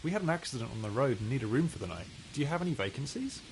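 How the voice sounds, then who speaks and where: A man's voice: sing-song, very fast. A man playing a polite pig detective in a video game, speaking in a hesitant, courteous way. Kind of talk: game character acting. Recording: noisy rumbling ambience.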